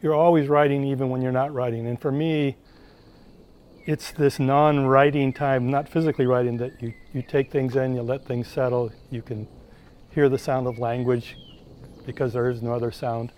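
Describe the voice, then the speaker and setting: A poet speaking in a thoughtful, halting way, searching for his words during an outdoor interview in a park. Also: medium pitch, little pitch movement, a little mellow. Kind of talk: reflective interview talk.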